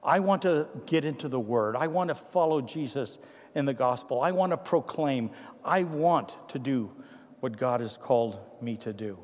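A man with a Trinidadian accent, a pastor preaching a sermon with humorous stories that give way to quiet, earnest appeals. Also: medium pitch, normal speed. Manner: animated anecdotes, then quiet earnest appeals. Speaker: pastor to seniors. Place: church sanctuary. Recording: long hall reverb.

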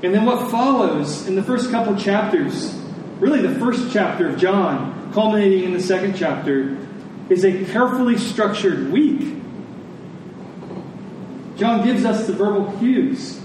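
A man preaching a sermon in a conversational, deliberate way, telling stories and explaining scripture, pausing and repeating phrases for emphasis. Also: high voice, expressive. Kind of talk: sermon with storytelling and scripture exposition. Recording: echoey room, distant mic, faint noise.

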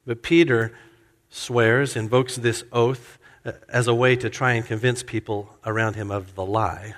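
Preacher with a long hall echo. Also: male voice, steady tone, a little slow. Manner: expository preaching, acts out quoted lines with rising pitch. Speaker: pastor. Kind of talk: sermon. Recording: hall reverb.

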